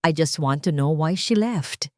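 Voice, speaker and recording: A woman's voice: sits low, expressive, measured pace, no room sound, studio quality. Polite, worried Filipino businessman played by a woman. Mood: polite, anxious. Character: worried wealthy businessman father, politely probing.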